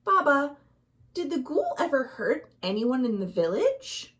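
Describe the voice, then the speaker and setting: A woman's voice: high voice, huge pitch swings, unhurried, a bit bright, pitched above the performer's own voice, heavily acted. A woman voicing a brave, curious and restless young village boy in a storybook, earnest and emphatic. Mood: questioning.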